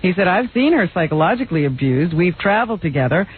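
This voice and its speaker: A man's voice: sits high, sing-song, fast, a little hiss or hum. A man co-hosting a radio show, reading celebrity news in a casual, giggly way. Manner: giggly, laughs often while reading items. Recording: tinny phone-like radio broadcast.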